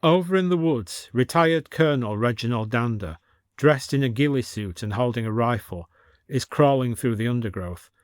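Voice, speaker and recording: A man's voice: mid-range voice, expressive, normal speed, no echo, clean studio sound. A man with a British accent reading a scripted fiction story as narrator.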